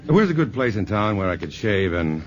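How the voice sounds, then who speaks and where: A man's voice: lowish voice, big pitch swings, brisk. An actor playing a polite, reserved male scientist in a 1950s radio drama. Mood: thoughtful, courteous. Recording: dull old radio recording, faint music.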